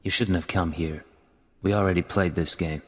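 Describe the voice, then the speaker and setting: A deep, cold covert agent. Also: male voice, fairly even intonation, normal speed, fairly bright tone. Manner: terse, cold. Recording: game audio with reverb and faint ambience.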